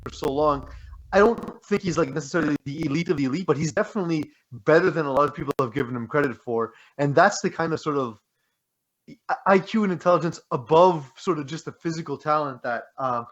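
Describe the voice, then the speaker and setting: A man's voice: a little high, brisk, a bit bright, dull audio. A man co-hosting a sports podcast, talking casually and informally.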